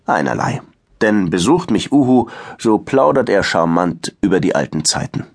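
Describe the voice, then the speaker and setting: A man narrating a scripted children's history audiobook in a measured storytelling manner. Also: deep voice, big pitch swings, gravelly.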